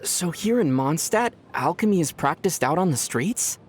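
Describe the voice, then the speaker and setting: A man playing a curious, thoughtful young traveler in a video game, measured and gently wry. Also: a little high, expressive, breathy edge, faint music behind. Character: young male traveler from another world, curious, mild and thoughtful. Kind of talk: scripted video game dialogue.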